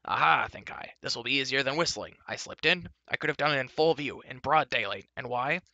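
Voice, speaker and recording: A man voicing an irritable, gruff little male fair-folk creature who snaps and blusters. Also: medium pitch, animated, fairly quick, bright, forceful tone, dry recording, dull audio, voice pushed up, heavily acted. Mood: snappish. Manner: snappish, blustering.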